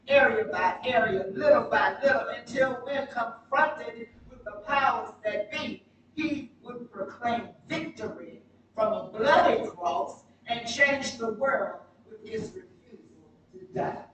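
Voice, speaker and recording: A woman preaching a sermon with emphatic, dramatic oratory and deliberate pauses. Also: on the high side, a bit bright. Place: church sanctuary during a live service. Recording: very echoey, distant mic, noisy church livestream.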